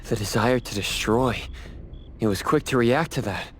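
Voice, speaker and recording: A man's voice: mid-range voice, moderate pace, a little hiss or hum, natural voice. A man playing a curious, observant adventurer in a video game, talking in a musing, conversational way.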